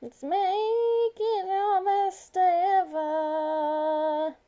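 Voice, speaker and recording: A very high, mellow voice delivers song lines in an amateur way. The words are half sung and half chanted, at a very slow pace. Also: flat delivery.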